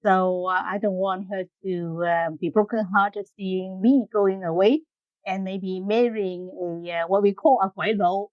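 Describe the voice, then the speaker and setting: Hong Kong woman, halting and dry. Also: mid-range voice, fairly even intonation, no room sound, muffled recording. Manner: frequent ums and uhs, laughs between phrases. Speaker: guest engineer. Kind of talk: interview answers.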